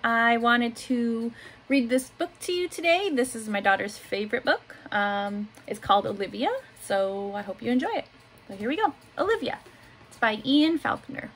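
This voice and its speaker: A woman in her thirties reading a children's picture book aloud in simple, deliberate phrases, adding little asides for young listeners. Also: on the high side, lively intonation, a bit bright. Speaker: school staff member reading aloud to pupils. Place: small home office room, indoors. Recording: noticeable room noise behind voice.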